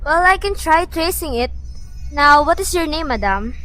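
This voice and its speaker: A woman playing a composed, skeptical chief telephone operator in an amateur radio drama, formal and measured. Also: high voice, lively intonation, a bit bright, soft background music, poor audio. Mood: businesslike.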